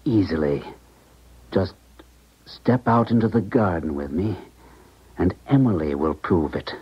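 Insistent scientist, lowish husky voice, old muffled radio drama. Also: male voice, animated, a little slow, exaggerated voice. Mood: urgent. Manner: earnest, insistent. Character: earnest, persuasive male scientist pleading with an old friend. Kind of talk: 1970s radio drama acting. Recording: dull, muffled 1970s radio recording.

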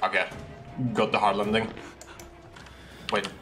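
A man's voice: lowish voice, flat delivery, a little slow, music in the background. A young man giving casual, reactive commentary on a horror game, exclaiming and repeating short phrases, recorded in a room at home. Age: young adult. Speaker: gaming video creator playing a horror game. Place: small room at home, indoors.

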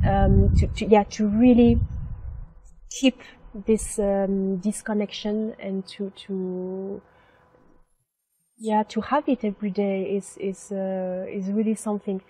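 Young woman, slow and mellow, flat delivery, hesitant interviewee outdoors. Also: mid-range voice, poor audio. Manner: hesitant, searching for words with many fillers. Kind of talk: reflective interview answers. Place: outdoor garden.

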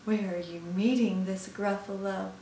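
A woman's voice: medium pitch, steady tone, measured pace, dark tone, echoey room, distant mic, slight background noise, natural voice. A woman voicing a sly, easily scared snake in a storybook, with a coaxing manner. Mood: coaxing, curious.

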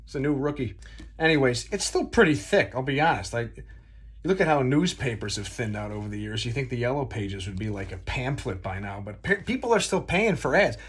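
Husky young man ranting casually. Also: medium pitch. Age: 20s. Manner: animated storytelling with exaggerated comparisons and profanity. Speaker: vlogger. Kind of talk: casual comedic rant to camera. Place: small dim room at home, indoors.

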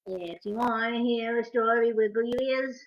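A woman's voice: a little high, moderate pace. A middle-aged woman hosting a preschool storytime, speaking and reading aloud in a sing-song, emphatic way. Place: indoors in a home living room. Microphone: webcam or laptop at arm's length. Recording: dull webcam audio, echoey living room.

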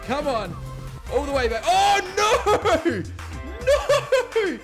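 A young man plays a horror game into his headset, his high voice swinging from tense mutters to panicked shouts. His pitch jumps all over the place. Music plays behind him throughout. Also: wildly swooping pitch, very slow. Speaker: gaming YouTuber. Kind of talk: horror game let's play. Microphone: over-ear headset.

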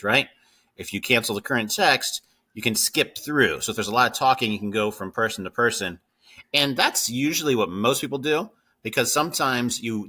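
Low, bright-voiced man teaching. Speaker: tutorial host. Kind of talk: casual screen-share coding tutorial.

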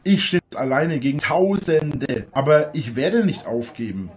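Man playing a wildly animated defiant prisoner. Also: a little high, unhurried. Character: hot-headed, defiant male prison inmate. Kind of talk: parody audio drama.

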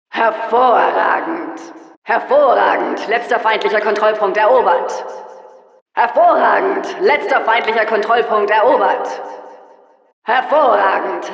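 A woman's voice: mid-range voice, vocal fry. An actress playing a stern, commanding female announcer in a video game, cold and demanding, with clipped, authoritative delivery. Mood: formal, approving. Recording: echoing hall, distant loudspeaker sound.

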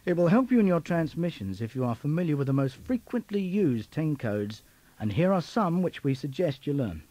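A man's voice: on the high side, sing-song, normal speed, warm tone, no echo. A man reading a scripted narration for an instructional tape.

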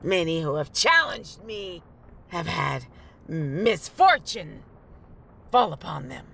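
A woman playing a phony fortune teller in a radio horror drama, with a theatrical, drawn-out delivery. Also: on the low side, big pitch swings, gravelly, crowd noise, full character voice. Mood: ominous.

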